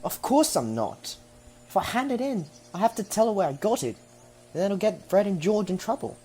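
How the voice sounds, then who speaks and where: An actor plays a defiant, casual schoolboy for an audio play. The pitch moves in a lively way. Slight noise sits in the background. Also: moderate pace. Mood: defensive. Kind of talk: amateur audio drama acting.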